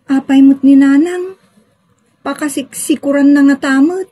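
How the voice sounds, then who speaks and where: A woman's voice: high voice, fairly even intonation, unhurried, warm tone, no echo. A woman with a Filipino accent playing an outspoken, exasperated grown daughter in an audio drama, with an emphatic, scolding manner. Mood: disapproving.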